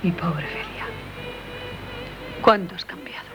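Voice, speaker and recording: An actress playing an anguished, tender, loving wife in a 1970s radio drama, emotional and pleading. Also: female voice, fairly low pitch, slow pace, in a whisper. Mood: tender pity. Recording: 1978 radio recording with loud music.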